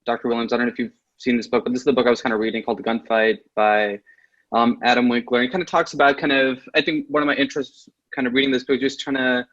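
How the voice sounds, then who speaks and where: A man's voice: mid-range voice, steady tone, quick pace, fairly bright tone, dull audio. A man hosting an interview, asking a long question hesitantly, with many fillers and hedges. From Pakistani family, raised in Saudi Arabia. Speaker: host.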